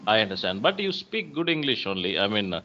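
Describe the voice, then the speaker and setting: A man with a Tamil accent hosting an English practice chat, speaking clearly in a friendly, encouraging way. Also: on the low side, big pitch swings, quick pace, muffled recording, very noisy. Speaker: host, English conversation partner.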